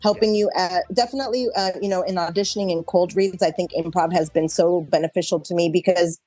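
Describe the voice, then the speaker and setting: A woman's voice: on the low side, fairly even intonation, quick pace, a little mellow, no room sound, low-quality recording. American woman, dry close sound, music behind. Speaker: interview guest, actor and improv performer. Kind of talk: casual interview chat.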